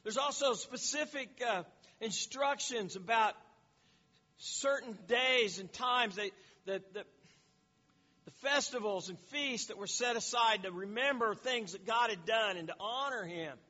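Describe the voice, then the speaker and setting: A man's voice: high-pitched, measured pace, sharp tone, long reverb tail, highs cut off. A man teaching a sermon in an explanatory way, often repeating words and restarting phrases. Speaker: preacher.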